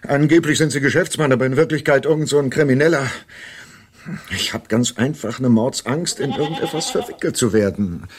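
A man's voice: mid-range voice, brisk, lightly acted. An actor playing an anxious, wary man being drawn into shady dealings, in a German radio drama.

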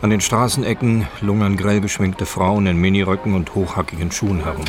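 A man's voice: deep voice, steady tone, a little fast, faint music behind. A man reading scripted, present-tense scene narration for a radio drama.

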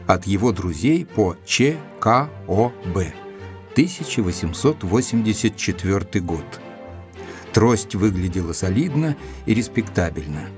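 An actor playing an earnest, admiring gentleman companion, narrating in a measured, literary audio drama style. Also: lowish voice. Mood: measured, descriptive.

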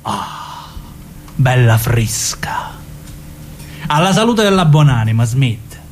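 An actor playing a coarse, ambitious, callous mobster with a southern Italian Calabrian accent in a theatrical radio drama, boastful and colloquial. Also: male voice, mid-range voice, very animated, takes it slowly, faint music behind. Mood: scheming, casual. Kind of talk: theatrical radio drama acting in dialect-tinged speech.

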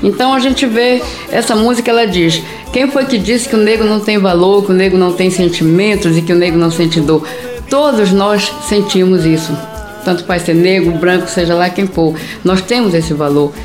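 A woman's voice: on the low side, normal speed, darkish tone. A middle-aged woman with a northern Brazilian accent from Pará, speaking plainly and spontaneously as a radio interview guest, searching for words at times. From Brazil, quilombola community near Santarém in Pará. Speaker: singer-songwriter, interview guest. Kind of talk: spontaneous personal interview talk. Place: audio studio, for a radio programme. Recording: roomy studio sound, music behind.

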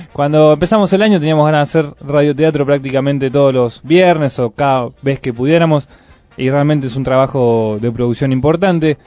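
A man's voice: a little high, moderate pace, dry recording. A man with an Argentine accent hosting a community radio culture show, chatting casually and reading out event listings. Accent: Argentine Spanish. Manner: relaxed, reads event listings conversationally. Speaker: radio host.

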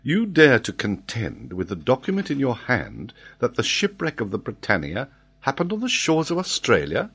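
A man voicing a proud, incredulous British lord who challenges and doubts in a formal, measured way. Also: mid-range voice, huge pitch swings, breathy edge, voice pushed up, full character voice.

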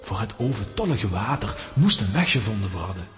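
An actor playing a gravely wise head lama of a mountain monastery, telling things in a solemn, formal, measured way for a children's audio drama. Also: male voice, fairly low pitch, very animated. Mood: solemn storytelling. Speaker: character telling a mountain legend. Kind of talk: Dutch-language children's audio drama acting. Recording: long reverberant hall with music behind.

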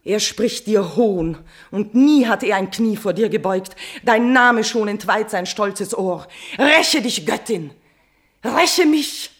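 Husky, tormented tragic queen. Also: mid-range voice, lively intonation, takes it slowly. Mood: vengeful fury. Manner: impassioned, swinging from hushed despair to outcry. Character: tormented, guilt-ridden queen in classical tragedy. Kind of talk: declamatory classical German stage verse acting.

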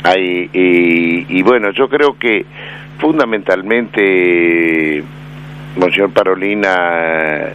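A man with an Argentine accent, commenting on a radio interview in a measured, hesitant way with many fillers. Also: sits low, fairly bright tone. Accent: Argentine Spanish. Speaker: radio commentator on Vatican affairs. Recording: dull lo-fi AM radio broadcast with faint noise.

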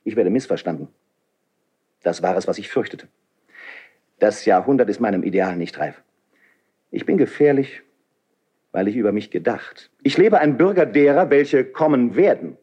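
An actor playing a proud, fervent, idealistic nobleman in a classical verse radio drama, with deliberate declamatory phrasing. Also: fairly low pitch, expressive, warm tone, slightly rough. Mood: fervent, defiant.

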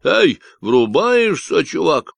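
A man's voice: a little high, very animated, creaky, no echo, voice pushed up, exaggerated voice. A man voicing an unhinged Texan man on a radio, who talks in a nasal drawl full of slang and mockery. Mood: mocking. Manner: slow, mocking slang talk.

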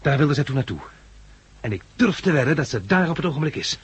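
A man's voice: a little high, big pitch swings, fast, husky, exaggerated voice. An actor playing a thoughtful, anxious male survivor, musing and hesitant, in a 1960s radio drama. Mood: hopeful, uneasy. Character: thoughtful male plant-grower survivor, anxious, searching for his woman. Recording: vintage 1969 radio play recording.

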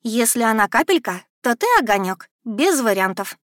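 Woman acting a high-pitched spirited girl student. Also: animated, fairly bright tone, no echo, clean studio sound. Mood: teasing, brisk. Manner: urgent, brisk, teasing. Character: spirited young female magic student, brisk and bossy.

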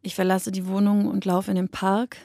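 An actress playing a somber woman narrator in a radio drama, speaking in a measured, reflective, literary monologue addressed to a withdrawn loved one. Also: mid-range voice, completely flat delivery, dark tone, no room sound, clean studio sound, natural voice.

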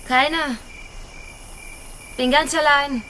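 A woman's voice: high-pitched, steady tone, slow, pushed and bright, slight background noise, exaggerated voice. An actress playing a slight, weary young wanderer in a German radio drama, hesitant, polite and evasive in her short answers. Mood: guarded, weary.

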